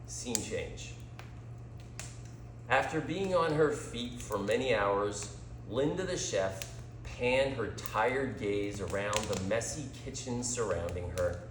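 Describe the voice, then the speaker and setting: A man reading a scripted story as a storyteller, with a dramatic, deliberate delivery. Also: on the low side, expressive, a little hiss or hum. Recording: echoey room.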